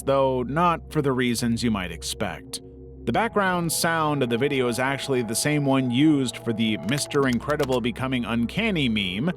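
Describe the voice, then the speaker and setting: Guy doing scripted video narration, wry and chatty, pitch moves around a lot, bit of music behind him. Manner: wry, conversational asides. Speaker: narrator of internet horror explainer videos.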